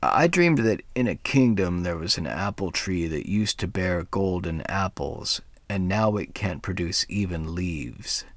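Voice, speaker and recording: A man voicing an old grandmother, gruff but kindly, talking softly and low. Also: animated, measured pace, few pauses, no echo, full character voice. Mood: coaxing.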